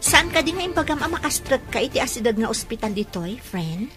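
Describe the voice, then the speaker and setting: A woman's voice: a little high, sing-song, brisk, over music. A young woman with an Ilocano accent playing a defensive, dreamy young sweetheart in a radio drama. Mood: chatty, wistful.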